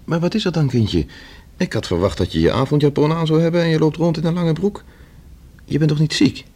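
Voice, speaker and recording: An actor playing a solicitous, serious fiancé in a radio play, courteous and questioning. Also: male voice, fairly high pitch, brisk, a little mellow. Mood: puzzled, tender. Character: Robert, solicitous engineer fiancé, a serious man.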